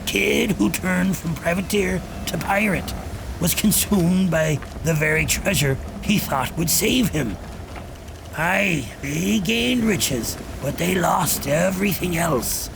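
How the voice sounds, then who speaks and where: A cursed old pirate captain haunting the seas, spinning grim tales in a thick pirate dialect. His voice is gravelly and a little raised in pitch. He speaks very slowly and ominously, with theatrical grandeur. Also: noisy background, full character voice. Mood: grave, scornful. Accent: pirate-style dialect. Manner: ominous, grandiose, deliberate rhetorical delivery. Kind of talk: theatrical scripted monologue.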